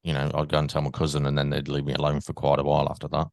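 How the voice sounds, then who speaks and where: An English man with a very deep voice talks in a relaxed, rambling way, often repeating himself as he hosts the chat. His voice sits close to the mic, dry and without echo. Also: racing, gravelly. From England. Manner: easygoing, rambling, repeats words. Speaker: podcast host. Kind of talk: casual interview chat.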